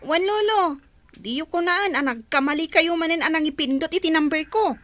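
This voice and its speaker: A high-voiced, impatient young granddaughter. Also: female voice, normal speed, a bit bright. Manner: polite, then impatient. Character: young granddaughter, polite but bored. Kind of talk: radio drama acting.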